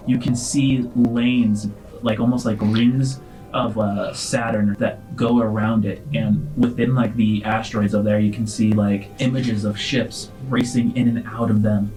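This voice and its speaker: A man's voice: fairly low pitch, darkish tone, rough recording. A man working as game master, improvising narration for a science-fiction tabletop game.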